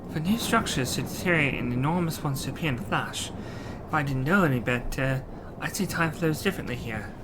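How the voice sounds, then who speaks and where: A man's voice: on the high side, expressive, brisk, hardly any pauses, rough recording. A man streaming a video game, reading its dialogue aloud and adding his own commentary, sometimes stumbling and repeating words. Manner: acts out on-screen dialogue, with slips and repeats. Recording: game music under the voice. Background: video game music.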